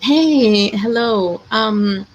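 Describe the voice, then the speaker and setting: A woman's voice: medium pitch, very slow, muffled recording. A woman from Ecuador, hosting a casual live chat in a worried, hesitant way, sighing often.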